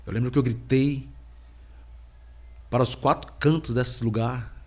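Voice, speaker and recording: A middle-aged man, a podcast host and preacher, giving a slow, halting personal faith testimony while he has a cold. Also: mid-range voice, steady tone. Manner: slow, halting, heavy pauses.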